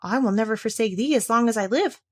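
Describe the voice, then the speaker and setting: A woman's voice: fairly high pitch, fast, no room sound, clean studio sound, close to an everyday voice. A woman voicing a loyal, devoted little foundling boy in a fairy tale, speaking simply and earnestly.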